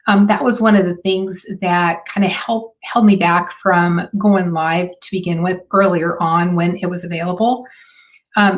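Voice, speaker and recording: A woman hosting a live marketing stream, her voice hoarse and raspy, talking in a chatty way with many fillers. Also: fairly low pitch. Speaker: host.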